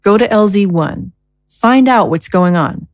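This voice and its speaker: An actress playing a commanding government leader in an audio drama, speaking with formal, clipped authority. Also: female voice, mid-range voice, unhurried, no echo, lightly acted. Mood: brisk, firm.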